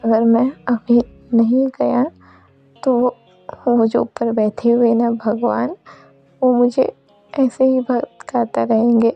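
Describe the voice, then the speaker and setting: A woman's voice: medium pitch, little pitch movement, measured pace, gravelly, warm tone, slightly rough, no room sound, dull audio, faint music behind, exaggerated voice. A woman voicing an anguished, protective male ghost lover in a told story, emotional and repeating phrases. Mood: sorrowful pleading. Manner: pleading, repetitive, emotional.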